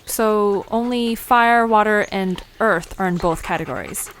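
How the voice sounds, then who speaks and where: A woman playing a curious, outspoken young woman in an audio drama, informal and questioning, sometimes halting mid-sentence. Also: medium pitch, a bit bright, slight background noise, lightly acted, low-quality recording. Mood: puzzled, curious. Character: Alina, curious, outspoken young woman learning about mythical creatures.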